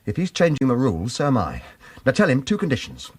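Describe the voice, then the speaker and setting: A man's voice: mid-range voice, huge pitch swings, fast talker, breathy edge, no echo. An actor with a British accent playing a dogged, wry man in trouble in a radio thriller, speaking in a clipped, insistent way. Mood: firm, urgent. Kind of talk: radio drama acting with first-person narration.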